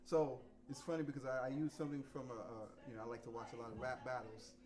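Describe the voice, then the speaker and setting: A man in his fifties from New York, talking frankly and profanely to students at a masterclass, sometimes quiet and sometimes loud. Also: mid-range voice, little pitch movement, fast talker. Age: 50s. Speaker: visiting drummer teaching a masterclass. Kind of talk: casual talk to students. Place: rehearsal room.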